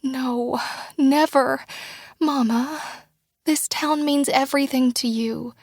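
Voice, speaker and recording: A woman's voice: sits high, steady tone, slow, darkish tone, dry recording, pitched above the performer's own voice, exaggerated voice. Anxious grown daughter, voiced by a woman, earnest and apologetic, dry booth. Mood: pleading. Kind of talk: audiobook character dialogue.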